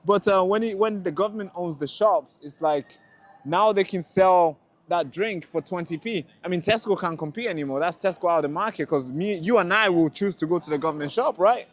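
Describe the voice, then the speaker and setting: A man's voice: sits high, a little fast. A young man from Tanzania debating a passerby in the street, pushing his point and repeating words as he presses it. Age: young adult. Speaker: street interviewer and rally speaker.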